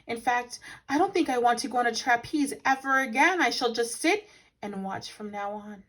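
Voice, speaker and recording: A woman's voice: a little high, normal speed, a bit bright, pitched above the performer's own voice. A woman reader acts out a young boy bear from a storybook. He is polite and earnest, speaking up with plain, exclaiming sincerity. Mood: firm.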